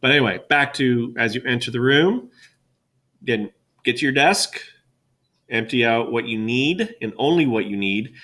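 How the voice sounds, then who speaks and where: A middle-aged male teacher with a loud, boisterous classroom voice, talking casually and rambling with fillers, recorded on a webcam in an empty classroom. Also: mid-range voice, normal speed. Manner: rambling, chatty with many fillers. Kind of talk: casual classroom welcome talk.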